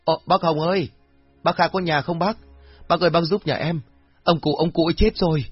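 A man's voice: on the high side, steady tone, a little fast, husky, dry recording, highs cut off, faint music behind, pitched above the performer's own voice. A man voicing a distraught village woman, sobbing and pleading in grief, in an audio horror story. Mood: frantic grief. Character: distraught village woman, daughter sobbing over her murdered father.

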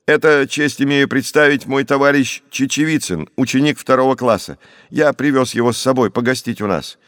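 An excitable young schoolboy from a storybook, played by a man reading aloud. He is eager and formally polite, in a raised voice with a dry studio sound. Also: mid-range voice, moderate pace, dry recording, voice pushed up, close to an everyday voice. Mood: startled, eager.

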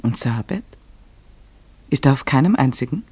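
Husky, earnest, searching narrator. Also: male voice, mid-range voice, animated, normal speed, dark tone. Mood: questioning, troubled. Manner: earnest, literary delivery. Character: earnest, searching male narrator haunted by a mystery. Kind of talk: German radio play acting and narration.

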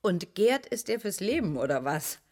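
A woman playing a playful, teasing young flatmate in German radio drama dialogue. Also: medium pitch, moderate pace.

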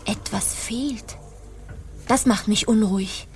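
A woman's voice: medium pitch, takes it slowly, a little mellow, soft background music, natural voice. A woman playing a restless, dutiful pirate officer in a radio play, speaking in clipped, formal, brooding phrases. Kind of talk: German radio play acting.

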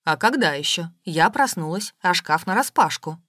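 A woman's voice: on the low side, expressive, moderate pace, fairly bright tone, no room sound, studio quality, pitched below the performer's own voice. A woman voicing a histrionic, quarrelsome wealthy lady, dramatic and defensive, in an audiobook. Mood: indignant, snapping.

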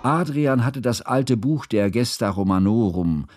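A man acting as a learned, devoted bourgeois biographer in a radio drama, narrating in a formal, ornate and deliberate way. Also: mid-range voice, animated, over loud music, natural voice. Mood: measured, recollecting. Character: learned, devoted bourgeois biographer recalling his composer friend.

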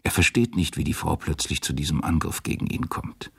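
A man's voice: extremely low pitch, lively intonation, brisk, vocal fry, a bit bright, husky. A man giving scripted literary narration as the narrator of a radio drama.

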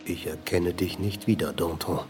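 An actor playing a wary, dismayed revolutionary confidant in a radio drama, sceptical and probing. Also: male voice, sits low, steady tone, normal speed, music in the background, close to an everyday voice. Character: wary, dismayed male revolutionary confidant, questioning his leader.

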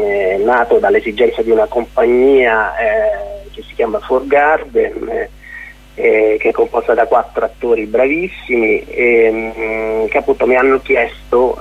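A man giving an informal radio interview as a guest playwright, halting and searching for words. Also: medium pitch, vocal fry, breathy edge. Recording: thin, narrow telephone line on radio.

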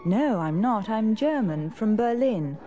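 An actress playing a German teacher from Berlin, speaking in slow, clearly articulated textbook lines. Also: female voice, medium pitch, expressive, warm tone, faint music behind, natural voice. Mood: polite. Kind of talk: scripted language course dialogue.

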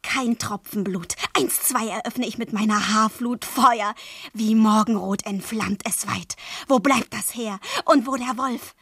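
A passionate young girl in a radio drama, bold yet fragile. Her voice is very breathy and fairly high, and she speaks slowly with intimate, yearning intensity. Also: a bit bright, no echo, full character voice. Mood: bold, defiant. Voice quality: breathy, whispery. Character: passionate, impetuous thirteen-year-old girl in love, bold yet fragile. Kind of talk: poetic German radio play acting.

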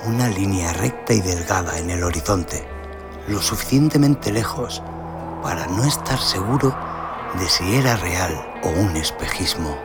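Deep, gravelly male storyteller, slow and brooding. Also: animated. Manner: brooding, deliberate, theatrical, with hushed dips. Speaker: audio story narrator. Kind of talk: dramatic scripted narration of a western horror tale. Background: cinematic sound design and music.

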